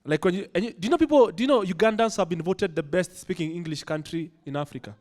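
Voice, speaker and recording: High-voiced Kenyan man doing stand-up. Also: quick pace, darkish tone. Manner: conversational, crowd-teasing, switching English and Swahili. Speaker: stand-up comedian. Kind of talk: stand-up set. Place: comedy club stage. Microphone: handheld stage mic.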